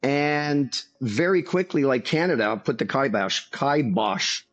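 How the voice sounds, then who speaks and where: A man who is a master hair stylist and salon owner, talking as an interview guest in a measured, storytelling way. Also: mid-range voice, expressive. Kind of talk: casual interview talk.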